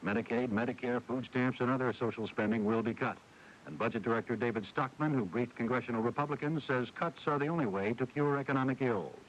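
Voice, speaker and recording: A man's voice: medium pitch, fast talker. American newsman on dull, hissy radio. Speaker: radio news anchor. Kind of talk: scripted network news bulletin. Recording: dull, hissy 1980s radio broadcast recording.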